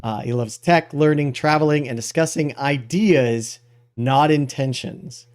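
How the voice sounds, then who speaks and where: Smooth-voiced man hosting a casual show. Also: on the high side, measured pace, darkish tone. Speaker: podcast host.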